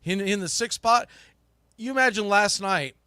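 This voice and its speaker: A man's voice: high voice, moderate pace, bright, forceful tone, smooth, no echo, studio quality. A man hosting a live sports talk podcast, speaking casually and emphatically with informal, opinionated, mildly salty phrasing.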